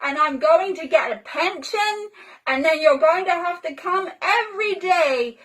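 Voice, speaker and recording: A woman's voice: extremely high pitch, measured pace, a bit bright, pitched above the performer's own voice, rough recording. Woman voicing a bold cheeky little girl. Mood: gleeful. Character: bold playful young girl, carefree and cheeky.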